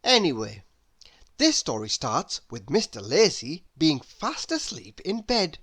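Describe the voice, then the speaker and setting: A woman reading a children's story aloud as an expressive, unhurried storyteller. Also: low voice, husky. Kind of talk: children's read-aloud narration.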